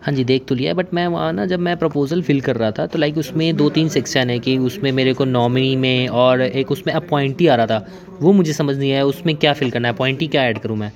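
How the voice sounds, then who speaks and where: A young man in his twenties talks over a dull, lo-fi phone line at a middling pitch. He rambles and hesitates, circling back with fillers as he asks his questions. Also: brisk, crowd noise. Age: 20s. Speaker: customer on the phone. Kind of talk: casual phone conversation.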